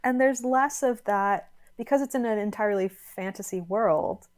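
A woman talking as a guest on a video call, animated and joking. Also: medium pitch, moderate pace. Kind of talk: casual chat.

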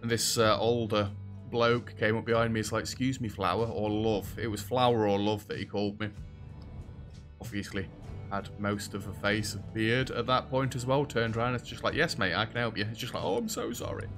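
A young British man with a rather deep, low-pitched voice gives casual let's-play commentary into a headset mic at home. He is chatty and self-deprecating and talks back to the game's characters. Faint music plays behind him. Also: fairly even intonation, brisk, a bit bright. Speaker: gaming video host.

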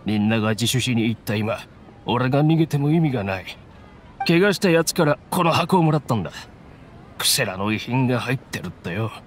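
A man playing an earnest, resigned mercenary with a rough, plain-spoken manner in a Japanese anime game. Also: medium pitch, animated, brisk, a little hiss or hum, natural voice.

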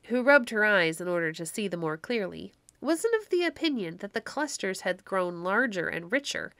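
A woman's voice: mid-range voice, fairly bright tone, dry recording. A woman narrator reading a classic tale aloud in a scripted storybook style.

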